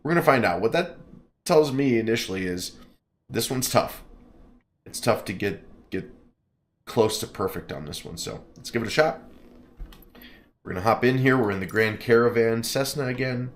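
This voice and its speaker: A man's voice: medium pitch, expressive, normal speed, long pauses. A man streaming a flight simulator, chatting casually and reading game text aloud with jokes and stumbles over place names.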